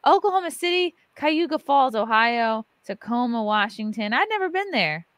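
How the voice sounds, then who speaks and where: A woman's voice: a little high, sing-song, moderate pace, fairly bright tone, no room sound. Joking woman podcaster, dry close mic. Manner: loose, joking, swears freely. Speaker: podcast host. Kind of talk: casual livestream chat. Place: home studio with neon lights. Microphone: large studio mic on arm.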